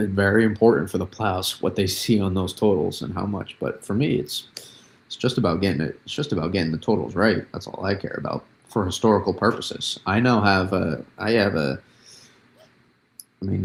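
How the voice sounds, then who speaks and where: A man with vocal fry giving casual live weather commentary, often halting and restarting his words as he thinks. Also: deep voice. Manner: halting, repeats and restarts words mid-thought. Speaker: livestream weather analyst.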